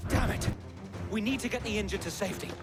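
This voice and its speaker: Man playing a harried, high-voiced knight commander. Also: fast talker, loud music behind the voice, lightly acted. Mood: alarmed. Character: dutiful, harried knight commanding his squire and men. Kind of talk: video game voice acting.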